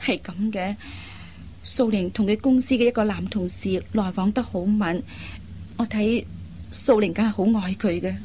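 An actress playing a loyal young adult daughter in a Cantonese radio drama, speaking earnestly and pleadingly in carefully articulated speech. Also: female voice, medium pitch, moderate pace, dark tone, a little hiss or hum, natural voice. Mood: earnest, consoling.

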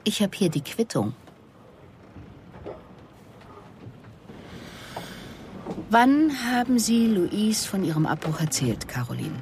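A woman's voice: mid-range voice, big pitch swings, moderate pace, darkish tone, a little hiss or hum. A woman playing a persistent police investigator in a German radio drama, asking controlled, pointed questions. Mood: probing.

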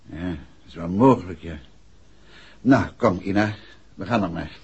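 An actor playing a jovial, eager gentleman detective talking fondly to his wife in a 1960s radio drama. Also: sits low, measured pace, breathy edge, no room sound, muffled recording, exaggerated voice. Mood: fond, teasing. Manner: animated, theatrical. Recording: noisy old radio recording, fairground crowd.

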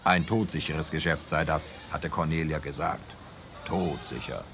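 Cornered radio-play culprit, extremely low male voice, defensive, halting, bitter, faint noise behind. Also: creaky, fairly bright tone, exaggerated voice. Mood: bitter confession. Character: burgled man exposed as killer, flustered and bitter. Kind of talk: German-language radio play acting.

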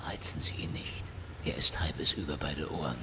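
An actor playing a low-key, calming police officer in a crime radio drama. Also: male voice, normal speed, big-room sound, slight background noise, heavily acted. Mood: calm, urgent.

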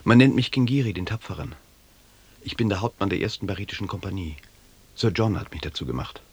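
An actor playing a proud, rootless captain and hardened wanderer in a German radio drama, with a gravelly, husky voice. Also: male voice, low voice, animated, quick pace, heavily acted.

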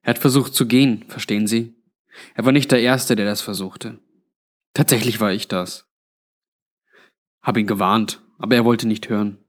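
A man's voice: on the low side, fairly even intonation, normal speed, long pauses, close to an everyday voice. A man voicing a weary, blunt old undead man with a grave, resigned manner in a narrated story. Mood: grim, sad.

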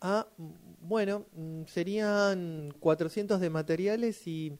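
A man's voice: high voice, takes it slowly, darkish tone. A man playing a polite, practical repair shop worker in an amateur radio drama, businesslike and a little hesitant.

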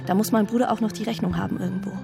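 A woman's voice: medium pitch, steady tone, very fast, gravelly, over loud music, close to an everyday voice. An actress playing a scatterbrained, self-justifying woman in a naturalistic radio drama monologue, rambling and questioning herself as she goes. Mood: rambling, exasperated.

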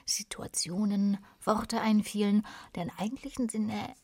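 A woman's voice: medium pitch, steady tone, moderate pace, darkish tone, husky. A woman giving scripted narration of a literary story in a radio play. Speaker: narrator and actress. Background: music.

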